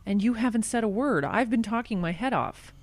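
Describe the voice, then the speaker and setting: Woman playing a chatty, high-voiced, fussy friend. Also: a little fast, no room sound, lightly acted. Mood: needling, impatient. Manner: talkative, dramatic, pressing. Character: chatty, nosy, fussy small-town woman, worried friend. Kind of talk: audio drama dialogue.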